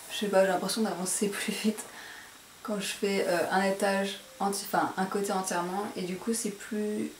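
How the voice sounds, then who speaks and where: Chatty woman in an echoey room. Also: mid-range voice. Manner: self-conscious, careful about pronunciation. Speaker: beauty vlogger presenting a hair tutorial. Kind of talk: casual chatty tutorial to camera. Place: indoor room at home. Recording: roomy echo, faint background noise.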